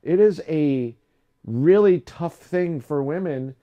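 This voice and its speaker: A young adult man hosting a livestream, chatting casually and rambling with lots of fillers and restarts, his voice low today. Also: lively intonation, slow, phone-quality sound. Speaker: live stream host.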